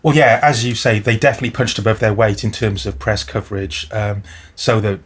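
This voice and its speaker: A man's voice: fairly low pitch, fairly even intonation, brisk, breathy edge. A man, talking as a podcast guest in a thoughtful, articulate, wry way. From South Wales. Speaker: guest music journalist. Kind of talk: casual podcast conversation.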